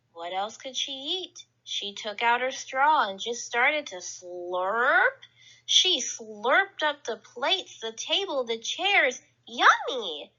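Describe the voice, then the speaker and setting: A woman's voice: a little high, sharp tone, highs cut off. A woman reading a picture book aloud to children at story time, playfully acting out sounds and exclamations. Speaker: library storyteller.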